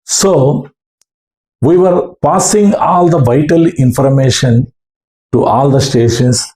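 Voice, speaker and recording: A man with a South Indian accent recounting his experience as an amateur radio operator in halting, earnest short phrases. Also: medium pitch, mellow. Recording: roomy, echoing room sound.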